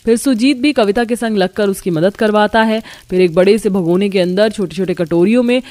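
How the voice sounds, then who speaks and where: A woman's voice: medium pitch, fairly quick, a little mellow. A woman narrator telling a scripted cartoon family story. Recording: music bed under the voice.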